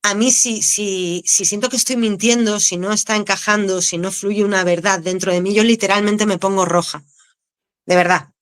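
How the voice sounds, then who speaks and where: A woman, an actress, speaking with a Canarian accent, answering interview questions in a candid, expressive way and laughing easily. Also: medium pitch, moderate pace. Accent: Canarian Spanish. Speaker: actress, guest.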